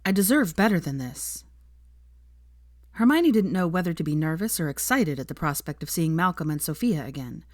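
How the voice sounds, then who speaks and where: A woman's voice: low-pitched, big pitch swings, moderate pace, a little mellow, no room sound, studio quality. A woman reading fiction aloud as an audiobook narrator, in scripted storytelling style.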